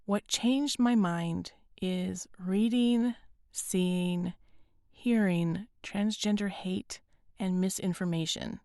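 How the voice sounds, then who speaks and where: A woman hosting a podcast, speaking earnestly and gently with a raspy, hoarse voice. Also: medium pitch, takes it slowly, dry recording, clean studio sound. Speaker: podcast host. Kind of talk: scripted podcast intro and interview.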